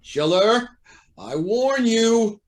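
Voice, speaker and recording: A man's voice: a little high, sing-song, a little slow. A man playing a proud, indignant judge who feels cornered, in an old-time radio drama with a formal, blustering manner.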